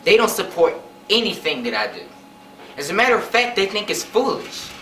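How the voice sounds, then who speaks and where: A woman's voice: mid-range voice, big pitch swings, a little fast, sharp tone, slight background noise. A woman playing a despairing, discouraged youngster in a stage play, dramatic and despondent. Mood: bitter, dejected. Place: theater stage. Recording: echoey stage, picked up from far off.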